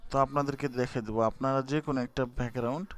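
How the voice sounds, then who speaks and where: A man's voice: medium pitch, steady tone, racing. A young man walking viewers through a video app in an informal, hesitant way, with many fillers and restarts. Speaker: YouTube tutorial host. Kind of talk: casual screen-recorded app tutorial.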